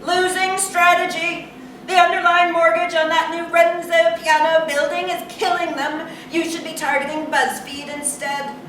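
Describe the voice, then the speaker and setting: An echoey, distant stage recording of a woman playing an entitled student. The voice is pitched up, blunt and dismissive, with a snide comic edge suited to live theatre. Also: level tone, normal speed, a bit bright. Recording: roomy echoing venue, distant mic, audience noise.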